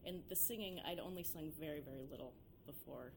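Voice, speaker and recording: Low-voiced chatty young woman. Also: brisk, background noise. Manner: chatty, many fillers like "like" and "you know". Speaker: violinist and singer in a duo. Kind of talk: casual interview chat.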